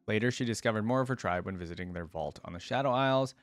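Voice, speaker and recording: A man's voice: on the low side, fast, dry recording. A man doing casual reaction commentary, reading aloud and adding informal, conversational asides as a video creator.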